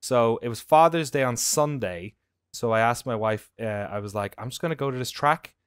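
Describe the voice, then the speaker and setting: An Irish man with a clear, smooth voice chats as a podcast co-host. His delivery is informal and animated, full of loose storytelling, and the sound is dry and close. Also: mid-range voice, moderate pace, no room sound. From Ireland. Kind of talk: casual chat, anecdotes. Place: indoor room at home on a video call.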